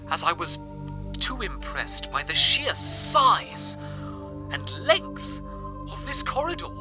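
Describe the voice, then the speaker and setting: Man playing an earnest, expressive radio storyteller. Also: a little high. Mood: awed. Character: male radio broadcaster of fantasy city, earnest, awed storyteller. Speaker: radio host. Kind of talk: scripted first-person narration, audio drama radio broadcast.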